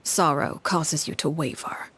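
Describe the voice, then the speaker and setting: A woman playing a cold, commanding head of a strict household in a video game, speaking in a measured, formal and menacing way. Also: sits low, steady tone, a bit bright, a little hiss or hum. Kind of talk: dramatic game voice acting.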